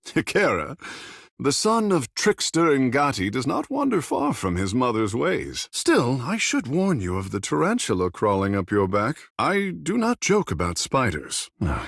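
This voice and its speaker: Flamboyant husky young demigod, swooping intonation. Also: male voice, mid-range voice, dry recording, studio quality, heavily acted. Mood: playful, teasing. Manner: theatrical, lofty formal phrasing. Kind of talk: scripted fantasy video game dialogue.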